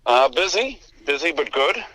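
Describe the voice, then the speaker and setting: A man's voice: fairly high pitch, sing-song, normal speed, pushed and bright, no room sound. A man from Chicago's south side, a detective guest chatting casually on a phone call with an earpiece. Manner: easygoing, laughs lightly. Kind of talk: casual interview.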